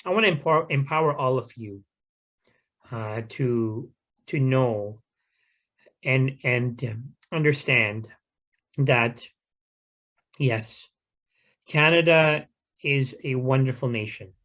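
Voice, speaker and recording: A man addressing a community gathering in an unscripted talk. His pitch sits in the ordinary middle range. He explains things in a careful, measured and earnest way. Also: a bit bright. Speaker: guest speaker at a community talk.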